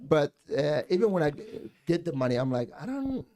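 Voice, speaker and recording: Podcast guest, male, late 20s, from Sweden, lively chatty comic delivery. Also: fairly high pitch, fairly quick. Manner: laid-back, joking, lots of fillers. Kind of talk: casual podcast chat.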